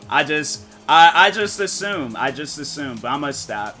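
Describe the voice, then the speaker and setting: A man streaming a game and chatting casually, rambling and thinking out loud with lots of fillers. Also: fairly high pitch, very animated, normal speed, faint music behind. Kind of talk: casual live-stream chat.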